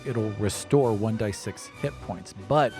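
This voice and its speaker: A man's voice: fairly low pitch, a little slow, music in the background. A man narrating an improvised tabletop role-playing game as game master.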